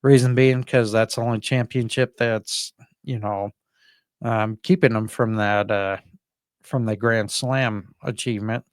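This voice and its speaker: A man with a fairly low voice co-hosts a casual sports podcast. He rambles a bit, thinking out loud as he makes his picks. The sound is dry and close, with little room to it. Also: steady tone, measured pace, clean tone, no echo. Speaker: podcast co-host. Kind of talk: casual sports prediction chat.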